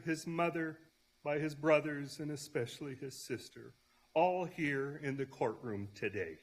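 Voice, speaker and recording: A man reading the part of a grieving relative who addresses a judge with solemn, deliberate emphasis. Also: a little high. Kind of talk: staged reading of a prepared statement.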